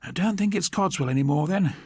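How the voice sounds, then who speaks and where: An older British man voicing a police constable in an audiobook, halting, blunt and grimly chatty. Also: high voice, mellow, breathy edge, no echo, clean studio sound, pitched above the performer's own voice. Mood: doubtful.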